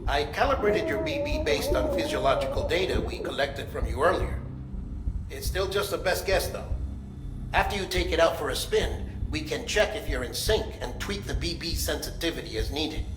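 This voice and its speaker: An actor playing a helpful medical technician in a video game, explaining technical adjustments. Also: male voice, fairly high pitch, big pitch swings, moderate pace. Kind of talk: video game briefing dialogue. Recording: echoey, music clearly behind.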